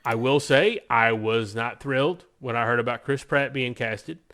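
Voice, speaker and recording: A male podcast co-host talks in a loose, conversational way with a mid-pitched voice. He is enthusiastic and emphatic, stressing his points as he chats. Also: normal speed, fairly bright tone. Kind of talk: casual podcast chat about movies.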